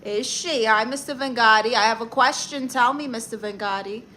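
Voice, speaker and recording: Brassy Brooklyn woman, bright voice. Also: a little high, slight background noise. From Brooklyn, New York. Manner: brassy, sassy, quick comebacks. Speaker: livestream host giving advice. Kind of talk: casual livestream chat reading comments.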